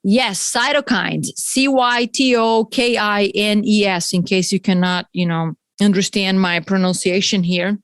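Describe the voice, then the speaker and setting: A woman's voice: medium pitch, fairly even intonation, unhurried, hardly any pauses, clear, resonant tone, dry recording, studio quality. A woman with a Brazilian accent hosting a solo health podcast, chatty and explaining terms with friendly asides. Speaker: podcast host.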